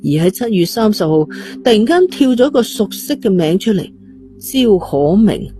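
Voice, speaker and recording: A woman's voice: medium pitch, sing-song, moderate pace, dark tone, soft background music. A woman with a Hong Kong Cantonese accent reads a scripted horror-mystery story as its narrator. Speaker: audio novel narrator.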